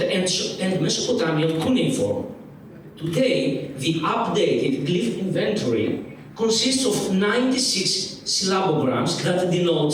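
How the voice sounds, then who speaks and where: A man with a Greek accent reads a scholarly paper in a fairly high voice, often stopping to restart or repeat a word. He sounds distant from the mic, and a big echoing auditorium rings around his words. A faint room hum sits underneath. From Greece. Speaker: lecturer. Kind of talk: academic conference lecture read from a paper. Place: large museum auditorium.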